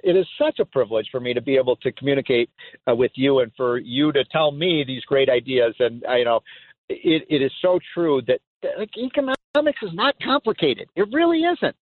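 A man hosting a call-in talk radio show, emphatic and excitable, often laughing as he talks. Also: mid-range voice, a little fast, no echo. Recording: tinny phone-like sound.

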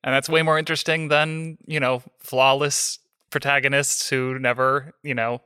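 A man chatting casually, enthusiastic and quick to agree with others. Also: mid-range voice, little pitch movement, bright, forceful tone, smooth. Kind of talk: casual chat.

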